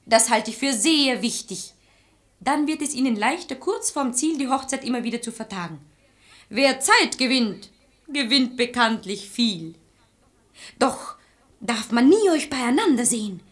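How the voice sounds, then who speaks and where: A woman playing a sharp-tongued, resourceful maidservant in a German radio verse comedy, brisk, exasperated and commanding. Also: on the high side, expressive. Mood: scheming, brisk. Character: sharp-tongued, resourceful maidservant, bossy matchmaker of quarrelling lovers.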